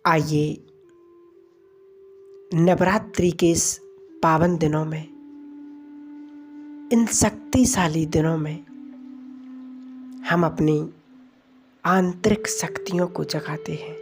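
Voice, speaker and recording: A woman leading a devotional guided meditation in a soothing, measured manner, pausing between short phrases. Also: low-pitched, darkish tone. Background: music.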